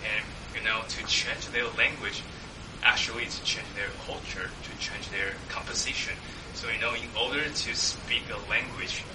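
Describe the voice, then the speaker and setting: A man from Taiwan, a Mandarin speaker, sharing haltingly in English at a church meeting, with many fillers and tag questions. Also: medium pitch, steady tone. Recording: echoey room, distant mic, background noise.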